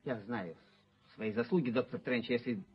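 An actor playing a servile, bitter sacked rent collector in a radio play, pleading and justifying himself emphatically in a husky, raspy voice. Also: male voice, mid-range voice, moderate pace, lightly acted. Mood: sly, bitter. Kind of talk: theatrical radio play acting. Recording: muffled 1970s radio play recording.

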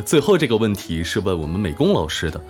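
A man with a low voice co-hosts a casual online event. His intonation swings widely as he reads out questions and gives announcements. Soft music plays behind him. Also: big pitch swings, fairly quick. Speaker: live-stream co-host of an audio drama event. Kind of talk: casual hosting, reading out questions. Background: background music.

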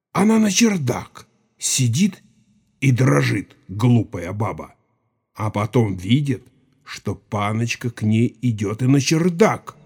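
A husky, animated old Cossack storyteller. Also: male voice, medium pitch, exaggerated voice. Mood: grim, scornful. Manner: rambling, unhurried, colloquial, savoring the tale. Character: gray-haired village Cossack storyteller, gruff, folksy, self-satisfied. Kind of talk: theatrical folk storytelling in an audio drama.

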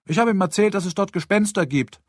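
A man's voice: high-pitched, quick pace, no echo, studio quality, pitched above the performer's own voice. A man voicing a chatty, unfazed little girl in an audiobook, with a matter-of-fact, childlike manner. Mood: eager, chatty. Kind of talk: audiobook character voice.

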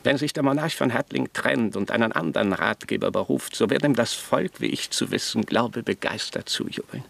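A haughty gravelly bishop. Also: male voice, mid-range voice, brisk, fairly bright tone, dry recording. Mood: lecturing. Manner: condescending, lecturing. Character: haughty scheming bishop, older man, commanding.

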